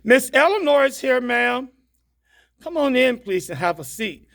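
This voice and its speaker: A woman's voice: high voice, sing-song, measured pace, heavily acted. A woman reading the part of a dutiful but cheeky housemaid in a stage play, polite and matter-of-fact. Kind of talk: stage play table read.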